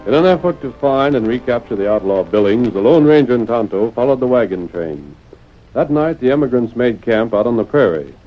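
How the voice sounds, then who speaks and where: A man's voice: medium pitch, big pitch swings, brisk. A man narrating a western drama in scripted old-time radio style. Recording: muffled vintage radio, music underneath.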